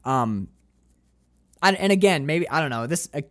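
Male podcast co-host, lively pitch, dry close mic, casual film talk. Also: normal speed, no room sound. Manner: animated, rambling with restarts and profanity.

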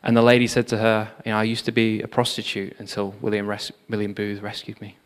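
A man giving a sermon, telling revival history in an animated storytelling manner with frequent fillers. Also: lowish voice, quick pace, clear, resonant tone. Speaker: preacher. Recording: long hall reverb.

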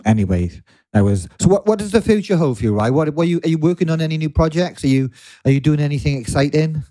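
A man's voice: fairly high pitch, expressive, fast talker, warm tone. A middle-aged man with a Welsh accent, hosting a podcast in a casual, chatty way. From Wales. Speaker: podcast host.

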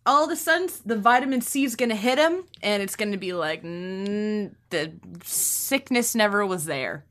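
A woman's voice: mid-range voice, lively intonation, normal speed, fairly bright tone. A woman co-hosting a movie podcast, chatting casually in a bubbly, teasing way. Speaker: podcast co-host. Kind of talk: casual chat.

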